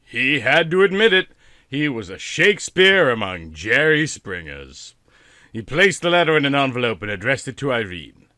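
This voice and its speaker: A man's voice: mid-range voice, very animated, normal speed, bright, forceful tone, no echo, studio quality. A man narrating a story in a theatrical, comic reading style, with dramatic, emphatic phrasing.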